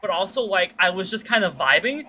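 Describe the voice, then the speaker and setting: A woman streamer with a bright, forward voice tells stories in a loose, rambling chat. She talks in slang with some swearing and acts out quotes, over faint background noise. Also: a little fast. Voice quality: fairly deep-sounding for a woman. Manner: slangy, sweary, animated retelling with acted-out quotes. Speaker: streamer chatting with viewers while gaming. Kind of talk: casual chat, rambling storytelling.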